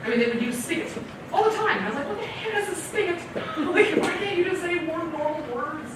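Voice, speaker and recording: A woman from Canada giving a casual question-and-answer talk to a library audience. She is chatty and animated, laughs often and acts out her own reactions. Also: extremely high pitch, fast talker. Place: library meeting room with audience. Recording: echoey hall audio from far off with loud room noise.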